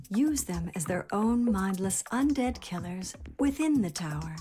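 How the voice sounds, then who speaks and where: An actress playing an informative female game guide delivers scripted lore narration. Her voice sits at a middle pitch, with music playing clearly behind her. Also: a little mellow, lightly acted.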